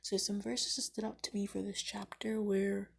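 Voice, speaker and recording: Soft-spoken husky young woman. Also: moderate pace. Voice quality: low, husky, gravelly voice. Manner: soft, half-whispered, flat delivery with fillers. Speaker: host of a daily Bible chapter series. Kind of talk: casual devotional Bible reading and reflection. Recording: low-quality home recording.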